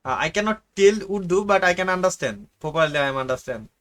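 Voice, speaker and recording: A man's voice: sits high, lively intonation, quick pace, a bit bright. Agreeable salesman. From Bangladesh. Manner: agreeable, broken English grammar, says "okay, sure" a lot. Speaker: agency representative. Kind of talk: sales call.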